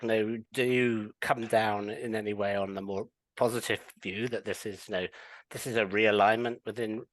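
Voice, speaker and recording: A man's voice: on the low side, dry recording, low-quality recording. A man who reviewed the book, asking a long thoughtful question in an academic interview, with many "you know" fillers.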